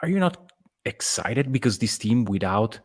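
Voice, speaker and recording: A man's voice: medium pitch, sing-song, moderate pace. A man co-hosting a sports podcast in a casual, conversational debate.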